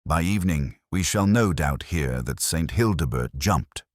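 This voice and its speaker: Guy reading as a mild wry detective inspector, really low voice, formal and understated, dry close sound. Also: expressive, no room sound. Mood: mild, thoughtful. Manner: measured, formal, dryly understated. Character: mild, wry, thoughtful male police inspector.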